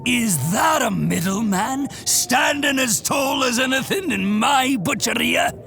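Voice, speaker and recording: An actor playing a loud, greedy butcher merchant who shouts and cackles, in an audio drama with music under him. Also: male voice, very high voice, lively intonation, unhurried, vocal fry, full character voice. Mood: boisterous.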